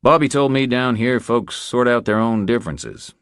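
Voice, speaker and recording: A man's voice: medium pitch, normal speed, clean tone, no room sound, clean studio sound, voice pushed up. A man voicing a cool, laconic drifter investigator with a terse, dryly wry delivery in a crime audiobook. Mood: dry challenge. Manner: deadpan, terse.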